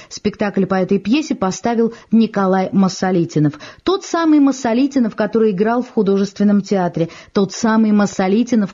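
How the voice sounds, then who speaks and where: A woman announcer introduces a radio theatre production. Her voice is dark and relaxed at a medium pitch, and her delivery is measured and articulate. The archival recording is muffled. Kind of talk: scripted introduction to a radio play.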